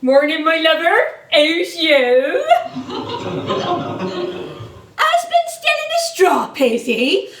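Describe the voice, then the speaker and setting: An actress playing an older English music-hall comedienne on stage, wistful and chatty, reminiscing in a rambling way. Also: female voice, very high voice, sing-song, slow pace, long pauses, a bit bright, full character voice. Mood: chirpy, chatty. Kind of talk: theatrical stage monologue and dialogue. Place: theatre stage. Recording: echoey stage, distant microphone.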